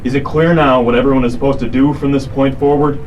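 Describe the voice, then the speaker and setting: A tough young vigilante in a radio drama, played by a man, terse and defiant at a middling pitch. He sounds slightly away from the mic, with some noise behind him. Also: steady tone, quick pace, a little mellow, natural voice. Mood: commanding. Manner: terse, commanding. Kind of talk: superhero radio drama acting. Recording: slightly distant mic, noisy ambience behind.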